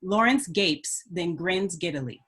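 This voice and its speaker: A woman's voice: lowish voice, lively intonation, unhurried, a bit bright, breathy edge. A woman reading stage directions as scripted narration for a screenplay table read.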